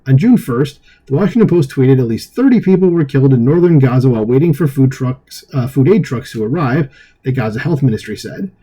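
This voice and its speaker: Dark, husky-voiced man reading news with commentary. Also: medium pitch. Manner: opinionated, dismissive asides. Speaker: online news commentary host. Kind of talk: reading an article aloud with off-the-cuff commentary.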